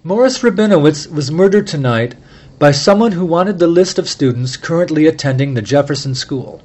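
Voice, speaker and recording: A calm, formal sleuth who lays out a case with gentle, remorseless precision, as a male narrator plays him. The voice is somewhat raised and evenly measured, a storybook detective in control of the room. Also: on the high side, pitched above the performer's own voice. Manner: measured, mild yet relentless, formal address. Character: calm, incisive middle-aged male amateur sleuth.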